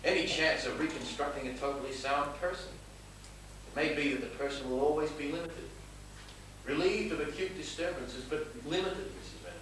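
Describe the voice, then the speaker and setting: A man's voice: a little high, fairly bright tone, lightly acted. Man acting troubled doctor in echoing theatre. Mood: measured, grave. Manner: careful, halting, formal. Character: earnest, conscientious male doctor, hesitant and troubled. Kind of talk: stage play acting. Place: small theatre stage. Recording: very echoey theatre, distant mic, noisy.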